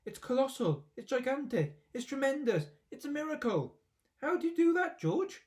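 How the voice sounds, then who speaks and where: A man voicing a small, excitable father who gets frantically worked up, in a storybook reading. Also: extremely high pitch, moderate pace, distant mic, pitched above the performer's own voice. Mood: thrilled. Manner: frantic, exclaiming.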